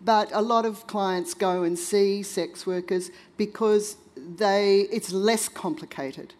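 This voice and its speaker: A woman's voice: medium pitch, takes it slowly, darkish tone. A woman speaking on a discussion panel, frank and conversational, checking in with her listeners.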